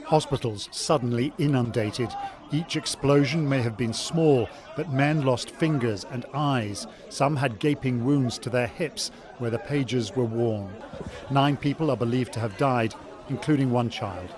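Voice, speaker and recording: A man's voice: medium pitch, unhurried, crowd noise. A man reporting a news story in a clipped, sombre manner.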